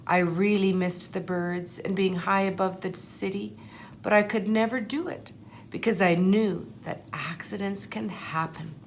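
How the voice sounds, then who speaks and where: Woman playing anxious determined male egg, storybook narrator, earnest, slow delivery, slight background noise. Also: mid-range voice, steady tone, close to an everyday voice. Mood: wistful, afraid. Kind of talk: storybook first-person narration.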